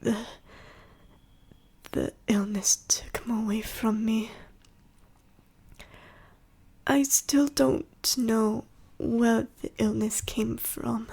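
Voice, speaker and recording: Casting: woman to play an ancient dying she-dragon for ASMR roleplay; whispery, husky, slow and halting, gentle and sorrowful. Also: on the high side, heavily acted. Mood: grieving, weary. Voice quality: breathy, whispery, raspy. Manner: halting, slow, solemn storytelling. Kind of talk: ASMR audio roleplay monologue to the listener.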